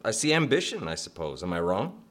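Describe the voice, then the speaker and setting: A smitten young executive in a radio play. He is earnest and gentlemanly, with very lively, swooping intonation. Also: on the low side, fairly quick. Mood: eager, playful. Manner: earnest, courteous, eager. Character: eager, gentlemanly young Princeton-educated executive suitor. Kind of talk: live radio drama.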